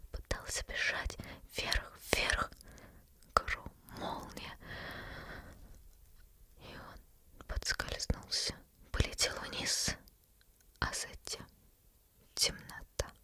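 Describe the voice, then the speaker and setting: Slow whispering woman storyteller. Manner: unvoiced whisper, slow and suspenseful. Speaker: ASMR storyteller. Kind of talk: whispered bedtime story narration.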